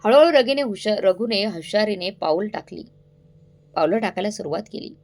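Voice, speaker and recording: A woman's voice: medium pitch, normal speed, darkish tone. A woman narrating a scripted story in a dramatic, suspenseful way, addressing listeners directly.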